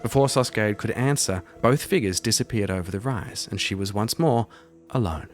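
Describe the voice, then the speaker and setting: A man's voice: lowish voice, normal speed, faint music behind. A man hosting a solo role-playing audio show, moving between storytelling and casual thinking aloud about the game. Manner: thinks aloud while playing, self-deprecating asides. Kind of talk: narrated story mixed with casual game commentary.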